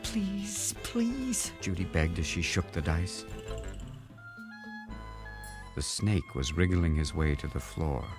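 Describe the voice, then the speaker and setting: A man narrating a children's storybook aloud in an expressive read-aloud style. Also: very deep voice, moderate pace, over music.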